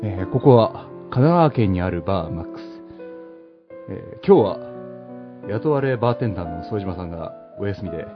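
A man's voice: deep voice, animated, moderate pace. A man hosting a show with casual, playful banter and joking commentary. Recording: dull lo-fi audio under music.

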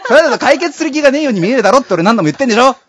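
A man's voice: extremely high pitch, animated, very fast, over a noisy crowd. A man co-hosting a casual comedic talk show, chatting in a nagging, scolding, exasperated straight-man way. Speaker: radio show co-host. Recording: dull lo-fi dry recording.

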